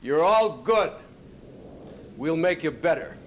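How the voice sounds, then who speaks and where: An actor playing a stern, gruff Navy commander who briefs pilots in a clipped, authoritative way in a video game. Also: male voice, on the high side, expressive, takes it slowly, rough recording. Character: stern, gruff male Navy commander and flight school instructor. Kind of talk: acted video game cutscene dialogue.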